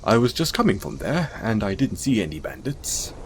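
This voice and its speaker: A man playing a gentle, caring, self-conscious centaur in an intimate audio roleplay, speaking in a soothing, hesitant, apologetic way. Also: fairly low pitch, sing-song, normal speed, a little hiss or hum. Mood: caring, soft-spoken.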